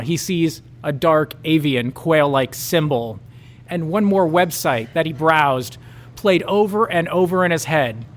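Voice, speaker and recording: A man's voice: high-pitched, slight background noise. A man reading a raunchy comic story aloud at a live show, with mock-dramatic narration.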